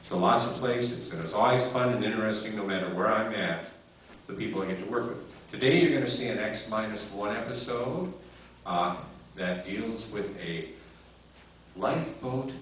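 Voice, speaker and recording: A man with a low voice opens a convention show in a relaxed, chatty manner. The microphone is far from him. The hall echoes heavily and the sound is dull. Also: moderate pace, a little hiss or hum. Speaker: convention radio recreation director. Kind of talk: casual stage introduction.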